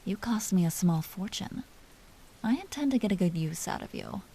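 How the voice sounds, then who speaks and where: A woman playing an aristocratic vampire duchess in a roleplay audio drama, commanding and teasing yet secretly tender, often hushed and confiding. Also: mid-range voice, animated, normal speed, vocal fry, a little hiss or hum. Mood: teasing, possessive.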